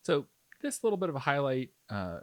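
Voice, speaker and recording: A man's voice: mid-range voice, very animated, a little fast, a little mellow, no echo. A man giving a casual tutorial voice-over as a hobby painting instructor, explaining in a conversational, enthusiastic way.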